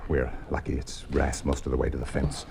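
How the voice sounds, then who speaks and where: A hunted minister with a very deep, gravelly voice, grave and guarded in 1950s radio drama style. The old recording is loud with noise. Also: male voice, completely flat delivery, fairly quick. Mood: guarded, wary. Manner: hushed, guarded, urgent. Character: hunted minister under watch, grave, cautious, steady.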